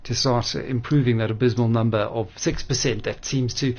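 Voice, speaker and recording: A man hosting a radio interview, asking measured, articulate questions in a creaky voice. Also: medium pitch, fairly even intonation. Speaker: radio host. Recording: dull lo-fi radio audio.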